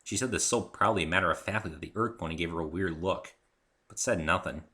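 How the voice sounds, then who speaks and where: A man's voice: sits low, fast, sharp tone. A man narrating a first-person story in a dramatic reading style. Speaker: author reading own story.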